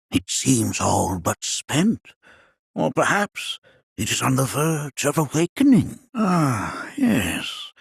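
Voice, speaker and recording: A man playing a whimsical, absent-minded elderly scholar in a video game, raspy and creaky, speaking in formal, old-fashioned phrases. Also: high-pitched, a bit bright, no echo, studio quality, exaggerated voice. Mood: intrigued. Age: older. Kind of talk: video game character acting.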